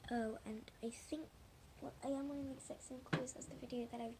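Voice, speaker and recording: A woman chatting casually and haltingly through a hands-on demonstration for viewers, with a hoarse, breathy voice that keeps thinning into a whisper. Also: sits high, steady tone, mellow. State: hoarse, losing her voice. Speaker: slime video maker talking to viewers. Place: at home indoors. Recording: noisy amateur home recording.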